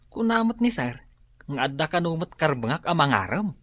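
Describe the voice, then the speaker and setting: A woman's voice: low voice, very animated, normal speed, dry recording. A woman with a Filipino accent playing a deferential employee in an Ilocano radio drama. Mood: reluctant.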